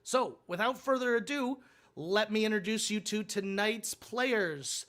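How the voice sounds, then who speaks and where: A man's voice: high voice, a little slow, pushed and bright. An American man presenting as the host of a game show, introducing contestants. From Michigan, United States. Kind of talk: scripted show hosting, introductions.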